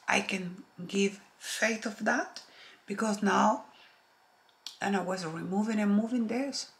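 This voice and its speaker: A middle-aged woman from Chile with a strong Chilean Spanish accent, a makeup artist speaking slowly and softly to camera, often dropping to near-whispers. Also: medium pitch, gravelly. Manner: slow, soft-spoken, drops to near-whispers, earnest. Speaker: makeup artist and beauty vlogger. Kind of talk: makeup tutorial chat to camera. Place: home studio with shelves of products, indoors. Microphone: camera close-up, upper body framing.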